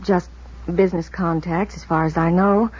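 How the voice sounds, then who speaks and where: An actress playing the anxious, guarded American wife of a missing man, halting with restrained worry, in 1950s radio drama style. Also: lowish voice, steady tone, a little mellow, breathy edge. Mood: worried, defensive. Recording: dull vintage radio recording.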